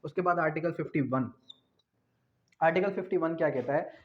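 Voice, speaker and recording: Higher-pitched Pakistani man lecturing, dull audio. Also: brisk. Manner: repetitive, asks and answers own questions. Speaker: law lecturer. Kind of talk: explanatory lecture with examples. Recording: dull, lo-fi sound.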